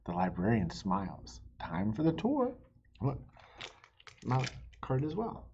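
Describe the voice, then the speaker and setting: A woman's voice: extremely low pitch, wildly swooping pitch, takes it slowly, warm tone. A woman reading a children's picture book aloud, expressively, with friendly asides straight to young listeners. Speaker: read-aloud storybook reader and host. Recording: dull lo-fi sound with faint noise.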